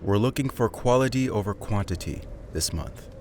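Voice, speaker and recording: Audio drama role, acted by a man: controlled male trafficker villain, deepish voice, all business. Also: animated, normal speed, darkish tone, a little hiss or hum, lightly acted. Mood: cool, businesslike.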